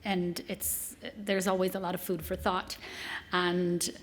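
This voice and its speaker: A woman's voice: on the low side, flat delivery, measured pace, a little hiss or hum. A woman from Malta talking informally as a participant on a panel, representing her institution.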